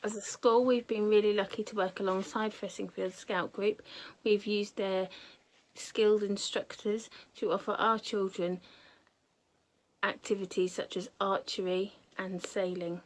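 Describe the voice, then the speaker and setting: A woman's voice: medium pitch, level tone, unhurried, poor audio. A woman who is a school head, reading a prepared testimonial with careful, formal phrasing. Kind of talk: scripted testimonial.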